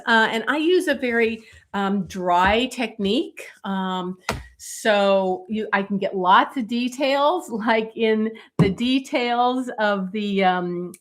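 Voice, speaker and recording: A middle-aged woman illustrator chatting casually on a live stream, with many fillers and a voice going hoarse. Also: a little high, a bit bright. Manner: many fillers, talks while showing pages. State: losing her voice, coughing.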